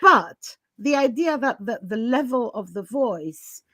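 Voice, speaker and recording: A woman's voice: fairly high pitch, lively intonation, takes it slowly, a bit bright, no room sound. A woman podcast host talking candidly and casually, emphatic and given to chuckling as she goes.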